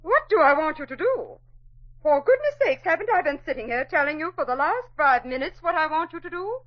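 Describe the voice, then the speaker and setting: A woman's voice: sits high, very animated, a little fast. An actress playing a crabby, bossy old woman, fussy and indignant, scolding in an old-fashioned way in a 1940s radio drama. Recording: muffled old radio recording.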